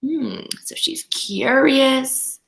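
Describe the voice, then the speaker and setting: A woman reading a storybook aloud to young children in an expressive, teacherly way, with instructive asides to her listeners. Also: high-pitched, very slow, gravelly. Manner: animated, instructional, talks directly to young listeners. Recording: echoey room.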